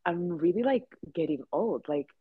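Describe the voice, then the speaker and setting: Low-voiced young woman chatting loosely. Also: animated, normal speed, breathy edge, dry recording, narrow, tinny audio. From Rwanda. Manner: rambling, many fillers and restarts. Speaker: podcast co-host. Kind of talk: casual chat.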